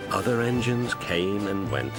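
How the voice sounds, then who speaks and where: A man's voice: deep voice, lively intonation, a little slow. A man narrating a scripted children's story as a storyteller. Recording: music behind the voice.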